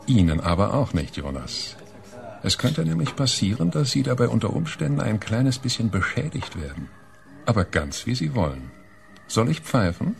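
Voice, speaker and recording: An actor playing a stern, menacing chief inspector in a radio play, speaking in an officious hushed whisper. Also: male voice, mid-range voice, very animated, normal speed, vocal fry, faint music behind. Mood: quietly threatening. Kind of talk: radio drama acting.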